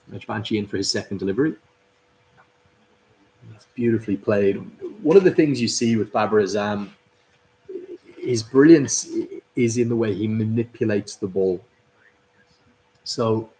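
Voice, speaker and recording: A man's voice: fairly low pitch, slight background noise, low-quality recording. A man hosting a live cricket watchalong, commentating and chatting through viewer comments. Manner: chatty, upbeat, reads out viewers' names and greetings. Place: at a cricket club.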